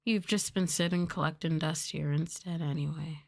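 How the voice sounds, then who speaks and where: A woman chatting softly as an ASMR livestream host, sometimes trailing off toward a whisper. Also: sits low, fairly even intonation, normal speed, creaky. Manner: softly spoken, now and then trailing into whispers. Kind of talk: soft-spoken ASMR livestream chat.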